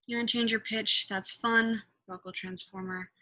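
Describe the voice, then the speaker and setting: A woman giving a casual, improvised software tutorial, thinking out loud and swearing offhandedly as she goes. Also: mid-range voice, steady tone, moderate pace. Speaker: tutorial presenter.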